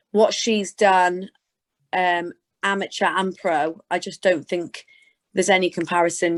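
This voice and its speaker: A British woman chatting informally as a guest in an interview, answering in a conversational way. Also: on the low side. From Scotland and Gloucestershire, England. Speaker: interview guest, sports presenter. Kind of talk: casual interview chat.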